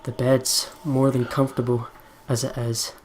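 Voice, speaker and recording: A man's voice: mid-range voice, little pitch movement, moderate pace, rough recording. A man co-hosting a let's play, chatting casually and reading game text aloud. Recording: game music behind the voice. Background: video game music.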